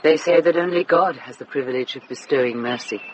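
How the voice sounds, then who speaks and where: A man's voice: mid-range voice, brisk, slight background noise, lightly acted, rough recording. Man playing a thoughtful, solicitous companion. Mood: reflective. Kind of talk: radio drama acting.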